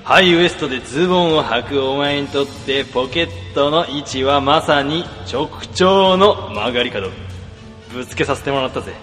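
A man's voice: mid-range voice, moderate pace, fairly bright tone, big-room sound, soft background music, natural voice. A man voicing a cunning male office worker in a comedy radio drama, narrating his schemes in intense inner monologue. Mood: scheming.

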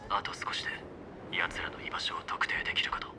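An actor playing a terse covert scout in a video game, reporting in a hushed, breathy, gravelly voice. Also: male voice, brisk, natural voice. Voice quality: breathy, gravelly near-whisper. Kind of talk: Japanese video game voice acting.

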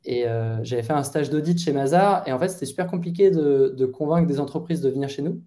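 Man in his thirties, dark hesitant voice. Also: a little high, brisk. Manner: thoughtful, hesitant with many fillers and restarts. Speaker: guest product manager. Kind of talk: casual interview answers. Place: bedroom at home. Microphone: headset on video call.

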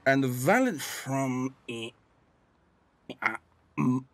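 A man playing a doddering, confused customer on a prank phone call, halting and hesitant as he talks. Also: medium pitch, animated, dry recording. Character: doddering, confused, slow-talking male credit card customer.

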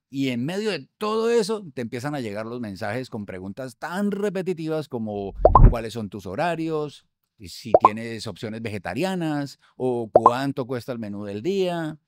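Upbeat man hosting a software tutorial. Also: mid-range voice, very animated, moderate pace, no room sound, studio quality. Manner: upbeat, conversational, with filler hesitations. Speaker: host. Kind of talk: tutorial explaining restaurant software. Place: studio room. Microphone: studio mic on boom arm.

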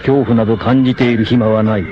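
A man playing a cocky, free-spirited, fearless martial-arts warrior in a dramatic 1980s anime, with theatrical, rough swagger. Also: medium pitch, steady tone, quick pace, dark tone, dull audio, natural voice. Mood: confident, defiant.

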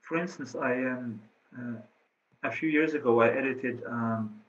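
A middle-aged man with a Norwegian accent, a researcher answering questions in an online talk, halting with many fillers and restarts. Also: medium pitch. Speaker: presenting researcher. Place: office room indoors. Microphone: laptop webcam on a video call. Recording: slightly dull video call audio.

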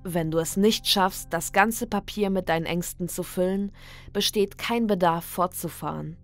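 A woman reading a scripted creepy ritual guide as a horror narrator, in a measured, deliberate, instructional manner. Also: lowish voice. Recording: dry studio voice over background music. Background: background music.

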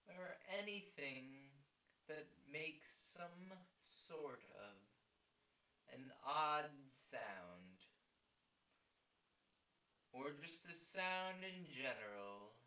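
A man in his twenties playing a grandiose stage orator in a theatrical ASMR role play, declaiming pompously with dramatic pauses. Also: medium pitch, pushed and bright, heavily acted. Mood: solemn, pondering. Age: 20s. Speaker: ASMR performer. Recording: echoey small room, distant mic.

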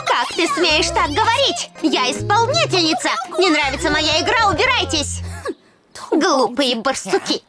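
A woman voicing a haughty, short-tempered female snake musician in an animated cartoon, snappy and imperious. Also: very high voice, measured pace, music in the background, full character voice. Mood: offended, haughty.

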